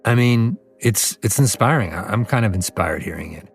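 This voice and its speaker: A man's voice: lowish voice, expressive, normal speed, creaky, husky. American male podcast host over music. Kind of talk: conversational podcast hosting. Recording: music playing behind the voice.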